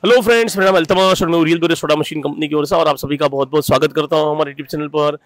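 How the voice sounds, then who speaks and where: Fast, high-voiced male presenter. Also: faint music behind. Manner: energetic, upbeat presenter. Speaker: company representative host. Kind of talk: on-site customer review video. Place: small bottling room and shop.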